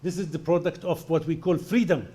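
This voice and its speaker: A man answering a question after his lecture, pressing his points firmly and with emphasis. His voice sits a little high yet sounds warm and mellow. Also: steady tone, a little fast. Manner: forceful, emphatic, argumentative.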